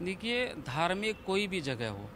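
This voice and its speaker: A man's voice: sits high, sing-song, measured pace, background noise. A man, an imam, giving interview answers in formal, diplomatic, balanced phrasing.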